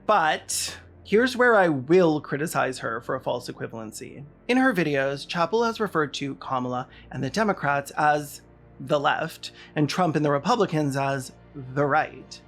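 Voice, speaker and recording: Video essay host, mid-pitched, sardonic and emphatic scripted commentary over light music. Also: animated, moderate pace, fairly bright tone.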